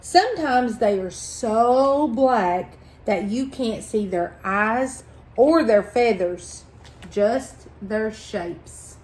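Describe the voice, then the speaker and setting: High, lilting woman reading to children. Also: rough recording. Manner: expressive, deliberate phrasing for young children. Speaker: library storytime reader. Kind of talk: reading a picture book aloud to children. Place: indoors at a table in a small room.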